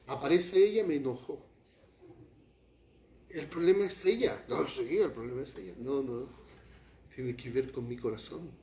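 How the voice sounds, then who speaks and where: A man preaching a sermon as pastor, shifting from quiet, low passages to raised, heated lines. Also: slight background noise. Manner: impassioned preaching, drops to quiet, then rises to acted outbursts. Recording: echoey hall, muffled lo-fi sound.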